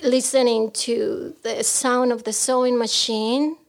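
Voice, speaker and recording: Gravelly-voiced Mexican-born woman guest. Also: a little high, little pitch movement. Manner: soft-spoken, halting, many fillers. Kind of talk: interview storytelling.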